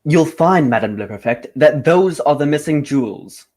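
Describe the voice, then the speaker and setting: A man's voice: fairly high pitch, lively intonation, normal speed, a little mellow. Guy playing a confident theatrical detective, formal, voice swings up and down a lot, old radio mystery. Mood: assured. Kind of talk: old-time radio drama acting.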